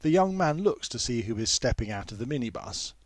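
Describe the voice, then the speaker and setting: A man narrating a literary story in a measured, formal manner with precise phrasing. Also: mid-range voice, sing-song, no room sound. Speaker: author reading own novel.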